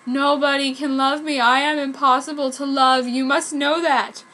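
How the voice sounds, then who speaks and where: A woman's voice: high voice, steady tone, normal speed, sharp tone, voice pushed up. A woman voicing a brooding, tormented, self-pitying teenage boy with theatrical dejection in a fan fiction reading. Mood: dejected.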